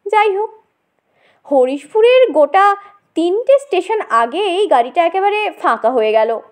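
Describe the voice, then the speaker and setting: Female comic audiobook narrator: very lively intonation, unhurried delivery, theatrical and chatty. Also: extremely high pitch. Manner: dramatic, conversational, addresses listener directly. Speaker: storyteller.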